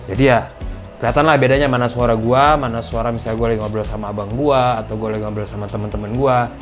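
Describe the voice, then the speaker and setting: A man with a Jakarta Indonesian accent gives a casual vlog-style review. His talk is colloquial and explanatory, at an ordinary pitch, with loud music behind him. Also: fairly even intonation, quick pace. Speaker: motovlogger.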